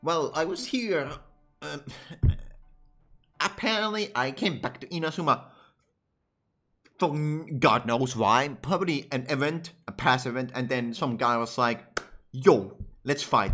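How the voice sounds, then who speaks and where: Male streamer, casual commentary, lively intonation, forceful tone, profane, rambling. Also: mid-range voice, moderate pace, soft background music. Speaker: streamer, content creator. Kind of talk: casual game playthrough commentary.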